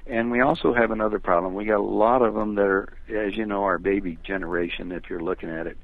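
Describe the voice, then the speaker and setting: An older American man giving his opinions as a phone interview guest, talking in a rambling, emphatic way with a gravelly, husky voice over a telephone line. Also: lowish voice, steady tone, quick pace, dry recording. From United States. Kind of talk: conversational opinion talk.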